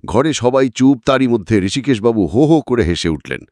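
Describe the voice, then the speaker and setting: A man's voice: medium pitch, animated, moderate pace, a little mellow, dry recording. A man narrating a detective story from a script in a dramatic audiobook style.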